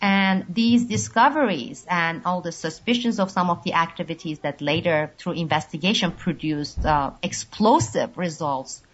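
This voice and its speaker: Woman on a muffled call. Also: on the low side. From Turkey. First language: Turkish. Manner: measured, explains at length with many pauses. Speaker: interview guest. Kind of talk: long recorded call interview. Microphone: video call. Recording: dull lo-fi call audio.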